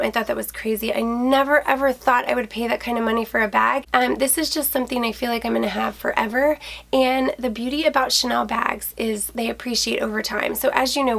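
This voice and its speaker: A woman in her thirties talks brightly to her viewers, her voice stuffy and nasal from a cold, with a gravelly fry creeping in. She sits close to the camera in a small closet room and keeps the tone friendly and chatty. Her voice sits a little high for a woman. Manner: bubbly, chatty, addresses viewers as guys. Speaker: fashion vlogger. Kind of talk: casual handbag review to camera. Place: small indoor closet room with shoe shelves.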